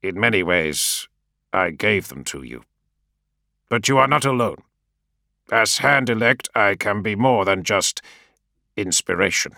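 A man voicing a wry male adviser who speaks in a measured, mockingly sober, deliberate way. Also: fairly low pitch, animated, vocal fry, pushed and bright, no echo, clean studio sound, full character voice.

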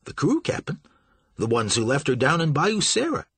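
A man's voice: on the high side, huge pitch swings, normal speed, a bit bright, breathy edge, no echo, voice pushed up, heavily acted. A man voicing a flustered, nervous young shipping agent who stammers and fawns. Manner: stammering, fawning.

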